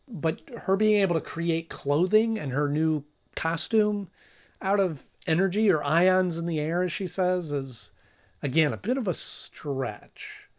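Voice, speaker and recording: A man gives casual review commentary as the host. His voice sits a little high, and he talks conversationally, thinking aloud and stressing points as he goes. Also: measured pace.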